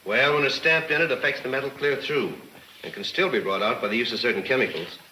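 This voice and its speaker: An actor as a crisp-toned police lab technician. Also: male voice, mid-range voice, fast talker, bright, forceful tone, a little hiss or hum, close to an everyday voice, low-quality recording. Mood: methodical. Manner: explanatory, matter-of-fact. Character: earnest male police lab technician explaining evidence. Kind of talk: 1940s crime serial film acting. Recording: old 1940s film soundtrack.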